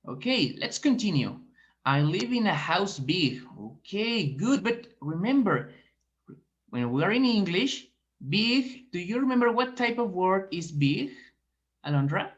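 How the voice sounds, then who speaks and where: Slow, patient woman teacher on a call. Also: on the low side. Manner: slow, encouraging, questioning. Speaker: teacher trainee giving feedback. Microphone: video call. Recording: dull video call audio.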